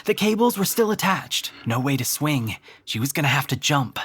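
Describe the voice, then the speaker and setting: A man narrating a scripted audio drama in a dramatic, deliberate storytelling manner. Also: on the high side, very animated, fairly bright tone, breathy edge.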